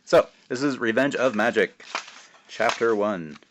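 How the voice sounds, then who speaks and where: A man's voice: fairly low pitch, lively intonation, measured pace, noisy background. A man who writes children's books, speaking casually and narrating his own story aloud. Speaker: children's author reading his own book.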